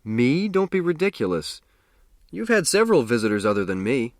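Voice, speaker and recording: A man's voice: medium pitch, big pitch swings, moderate pace, no echo, studio quality. Man voicing a hearty, teasing friend.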